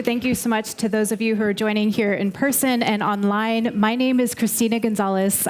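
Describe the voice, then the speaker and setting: A woman's voice: mid-range voice, darkish tone. An American woman hosting and interviewing onstage at an event, friendly and upbeat. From United States. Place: event stage before a live audience.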